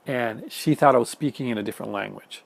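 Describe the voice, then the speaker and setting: A Canadian man talking fast and casually to the camera at home, with many fillers and restarts. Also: mid-range voice, vocal fry, husky, no echo. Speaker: film collector YouTuber. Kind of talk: casual chat reading viewer comments. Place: home room in front of film shelves.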